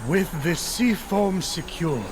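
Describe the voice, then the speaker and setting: An actor playing a wry, long-suffering titan storyteller in a video game, with measured, dry delivery. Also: male voice, sits high, animated. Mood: narrating.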